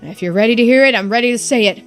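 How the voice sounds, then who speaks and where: A woman voicing an excitable, theatrical curse-bearer in a video game, with dramatic, emphatic declarations. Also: a little high, rapid-fire, soft background music, close to an everyday voice. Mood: dramatic, excited.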